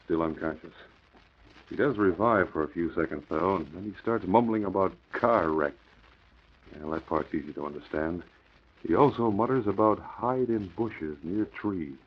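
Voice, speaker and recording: A man acting a gruff, frustrated middle-aged police commissioner in a 1940s radio drama. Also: low voice, moderate pace. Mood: brisk, confiding. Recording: muffled old 1940s radio recording.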